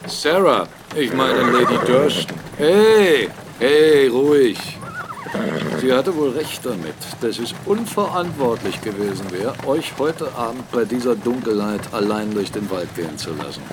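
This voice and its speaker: An actor playing a blunt, argumentative captain and mill owner in a German radio drama, speaking emphatically. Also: male voice, mid-range voice, animated, a little slow, background noise, close to an everyday voice. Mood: matter-of-fact, grim. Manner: argumentative, emphatic, rhetorical questions. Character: blunt, defiant male mill owner and captain, critical of church.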